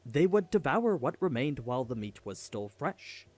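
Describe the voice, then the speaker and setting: A man's voice: mid-range voice, sing-song, normal speed, darkish tone. A man reading a scripted story recap as a narrator.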